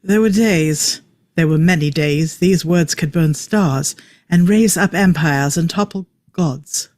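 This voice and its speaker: A man's voice: fairly high pitch, slow, darkish tone, no echo, studio quality. A man acting an eccentric, opinionated time-traveller in a script table read, with clipped, emphatic delivery. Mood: grave, awed. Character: eccentric, opinionated male time-traveller, a know-it-all enthusiast.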